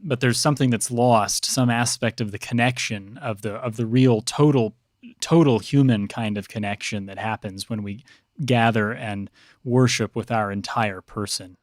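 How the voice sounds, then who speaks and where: Male guest, mid-range voice, reflective and measured discussion, dry recording. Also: mid-range voice, no echo. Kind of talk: thoughtful conversational discussion.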